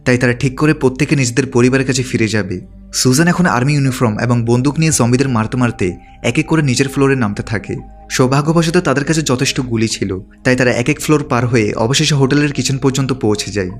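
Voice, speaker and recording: A man reading a scripted story recap as a narrator. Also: mid-range voice.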